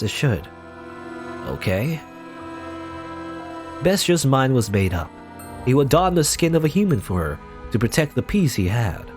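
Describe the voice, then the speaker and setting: A young man reading a visual novel aloud dramatically, breaking off into rambling asides full of fillers. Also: medium pitch. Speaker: let's player.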